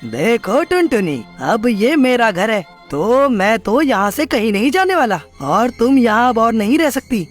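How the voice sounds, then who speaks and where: A woman acts a selfish male cartoon crow with a brusque, bossy manner. The delivery is lively and swooping, over faint music. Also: fairly high pitch, a little slow, hardly any pauses, pitched below the performer's own voice. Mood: bossy, dismissive. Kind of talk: children's cartoon story acting.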